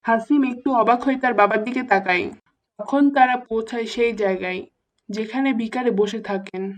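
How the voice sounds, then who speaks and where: A woman's voice: fairly high pitch, brisk. A woman reading scripted narration for a moral story. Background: music.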